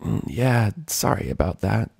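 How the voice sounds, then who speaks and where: A man voicing a brash young female cartoon pegasus pony, gruff, groggy and irritable. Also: lowish voice, lively intonation, measured pace, darkish tone, dry recording, clean studio sound, full character voice. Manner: groggy, mumbled, laid-back.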